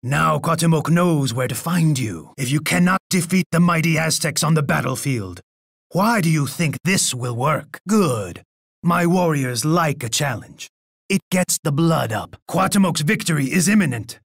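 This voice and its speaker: Gravelly, imperious Aztec warlord emperor. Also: male voice, fairly high pitch, animated, normal speed, no echo, studio quality, exaggerated voice. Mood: scornful gloating. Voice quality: gravelly, husky. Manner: imperious, taunting, refers to himself in third person. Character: menacing, boastful, bloodthirsty Aztec emperor and warlord. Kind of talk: theatrical video game character voice lines.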